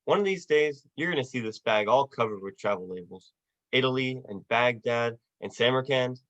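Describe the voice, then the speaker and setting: A man's voice: medium pitch, lively intonation, normal speed, fairly bright tone, no echo, dull audio, close to an everyday voice. Man playing an earnest young dreamer, dry sound. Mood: restless, earnest. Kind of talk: 1940s-style radio play acting.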